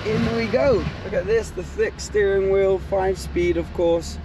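A man's voice: high voice, steady tone, a little slow. A young man talking casually to a handheld camera in a car and outdoors, chatty and upbeat, with his voice dropping at the ends of phrases. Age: young adult. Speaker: car vlogger. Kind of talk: casual travel vlog to camera. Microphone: handheld action camera at arm's length. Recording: car and road noise behind.

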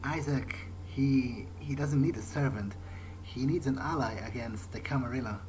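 Male gamer in character, mid-pitched, halting and stammering, improvised lines over clear game ambience. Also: darkish tone, slight background noise, poor audio. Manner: hesitant, stammering, repeats words. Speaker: gaming video host playing in character. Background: video game ambience.

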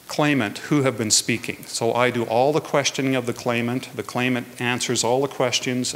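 A man gives a prepared public talk in a measured, earnest way. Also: medium pitch, a bit bright. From Canada. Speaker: guest speaker, hearing adjudicator. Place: indoors at a lectern in a hall.

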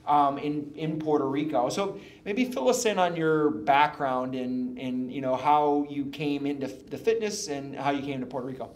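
Male host, unpolished reader, stumbles and uses fillers; recorded at a distance in an echoey space. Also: a little high. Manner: halting, fillers, reads with slips. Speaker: interview host. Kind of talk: reading a guest bio, then casual interview. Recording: roomy, from a distance.

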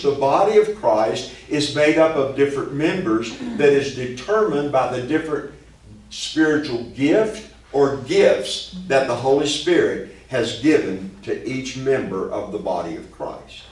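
An older man teaching a sermon in earnest, deliberate fashion, speaking into a stand microphone in a church meeting room. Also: medium pitch. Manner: slow, earnest Bible teaching with gestures. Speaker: pastor. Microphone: stand mic, filmed from a distance. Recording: very echoey room, distant mic, noise.

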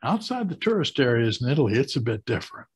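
Man in his sixties, American accent, husky voice, mid pitch, deliberate explanatory delivery, emphatic pauses, webcam talk. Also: sing-song, darkish tone. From United States. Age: 60s. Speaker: host of a retirement advice channel. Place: small home office, indoors. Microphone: webcam video call setup.

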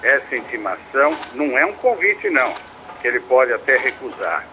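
An actor playing a confident, formal Brazilian lawyer in a radio soap, emphatic and didactic. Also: male voice, medium pitch, wildly swooping pitch, normal speed, creaky, dull audio, a little hiss or hum. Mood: instructive. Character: confident, formal lawyer giving firm advice. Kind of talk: radio soap opera acting.

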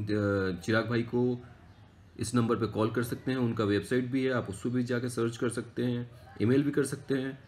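A young man chatting casually to viewers as a vlogger. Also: medium pitch. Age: young adult. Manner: chatty, addresses viewers as friends. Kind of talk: casual travel and shopping vlog. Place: street, shop and home.

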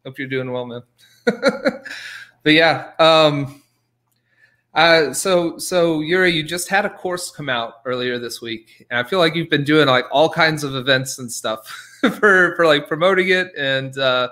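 Bright-voiced chatty male host on a headset. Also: on the high side, unhurried. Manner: laughing easily, loose and chatty. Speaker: podcast host. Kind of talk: casual chat.

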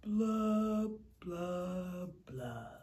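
A woman's voice: on the low side, lively intonation, extremely slow, dark tone, voice pushed down. A woman voicing a gloomy male fish with a droning, mopey voice in a storybook reading. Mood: glum. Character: glum male pout fish, gloomy and sulky.

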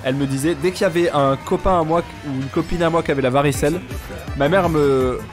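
A young man with a stuffy nose, chatting casually and joking deadpan with viewers while he streams a game. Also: fairly high pitch, fast talker, over music. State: congested, sick with sinusitis. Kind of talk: casual live-stream chat while gaming.

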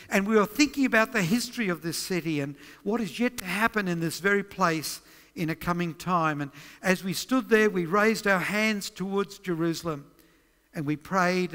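An older man with an Australian accent giving a sermon on prophecy, reading scripture aloud with measured emphasis. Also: high voice, a bit bright. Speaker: preacher. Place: church stage, large indoor hall. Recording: long church hall reverb.

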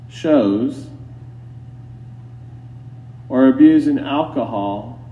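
Slow, solemn male priest preaching, distant and echoey. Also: mid-range voice, warm tone. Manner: solemn, deliberate, admonishing, with long pauses. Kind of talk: sermon. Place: inside a church. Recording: roomy church, distant mic, dull, faint hum.

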